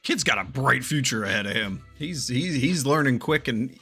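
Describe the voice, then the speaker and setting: A man streaming his game reactions in a casual, joking style. His voice is bright and swings widely, with blunt swearing and exclamations. Music plays underneath. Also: medium pitch, expressive, normal speed, slightly rough. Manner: profane, joking, breaks into exclamations. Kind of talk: casual gaming reaction commentary.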